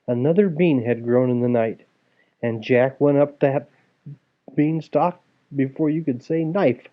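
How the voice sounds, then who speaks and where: A man's voice: mid-range voice, a little slow. A man reading a children's fairy tale aloud as a storyteller, acting it out as he goes. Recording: tinny, phone-like narrow sound.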